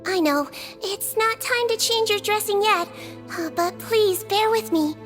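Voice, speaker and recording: A small, gentle head nurse with a very high, soft voice. She speaks hesitantly, pausing on little fillers, with faint music under the game scene. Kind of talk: video game voice acting.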